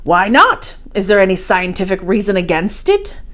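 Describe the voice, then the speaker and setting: A learned older gentleman professor as a woman storyteller reads him from an adventure storybook. He is assured and didactic, explaining everything with firm confidence. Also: medium pitch, big pitch swings, moderate pace.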